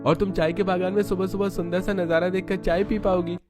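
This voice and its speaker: A man's voice: high-pitched, level tone, fairly quick, over music, close to an everyday voice. A man voicing a light-hearted young husband with a teasing manner in a cartoon story. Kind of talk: Hindi cartoon story dialogue.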